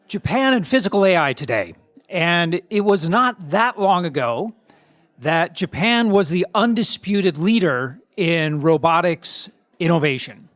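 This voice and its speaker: A man's voice: sits high, a bit bright, dry recording. A man in his fifties who hosts a podcast and moderates a panel on stage at a conference, upbeat and talkative, now and then repeating words as he starts.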